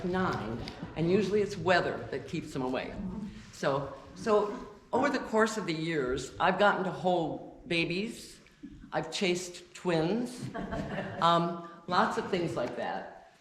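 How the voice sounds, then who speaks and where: A woman giving an informal talk to a church congregation, deliberately loud and chatty, with many restarts. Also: fairly low pitch. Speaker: guest speaker. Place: church sanctuary. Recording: echoey church with faint noise.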